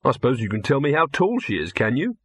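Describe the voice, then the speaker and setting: A man's voice: mid-range voice, wildly swooping pitch, quick pace, a bit bright, breathy edge, dry recording, exaggerated voice. A man voicing an awkward, hesitant young man in an audiobook, earnest and bewildered. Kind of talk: audiobook character voice.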